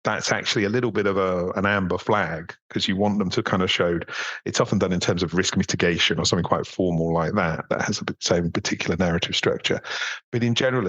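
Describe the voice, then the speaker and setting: A man's voice: low-pitched, a bit bright, breathy edge, no room sound. A man answering interview questions conversationally, tentative and thinking aloud, on a slightly dull recording. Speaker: research funder, interviewee. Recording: slightly dull, muffled sound.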